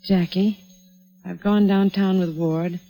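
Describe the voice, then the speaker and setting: An actress playing an anxious, determined wife in a 1940s radio drama, theatrical and earnest. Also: female voice, mid-range voice, fairly even intonation, slow, warm tone, soft background music. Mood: uneasy, questioning. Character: anxious, determined wife caught in intrigue, woman. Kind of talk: 1940s radio acting with dramatic first-person narration.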